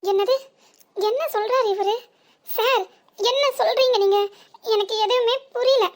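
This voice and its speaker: A woman voicing a fallen old woman who groans, wails and pleads for help in an animated story. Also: very high-pitched, measured pace. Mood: pleading. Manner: wailing, pleading and groaning in pain.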